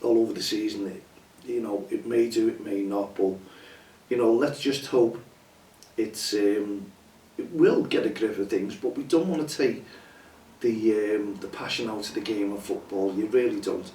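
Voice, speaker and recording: A hoarse man hosts a casual chat show, rambling and chatty with lots of fillers. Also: fairly low pitch, normal speed, dark tone. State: hoarse, losing his voice. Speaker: show host. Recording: roomy echo, distant from mic, faint ambience.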